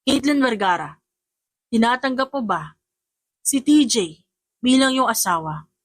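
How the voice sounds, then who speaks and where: A woman's voice: a little high, animated, a little slow, no echo. A woman with a Filipino accent voicing a formal, solemn priest officiating a wedding in a romance audiobook. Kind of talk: romance audiobook character acting.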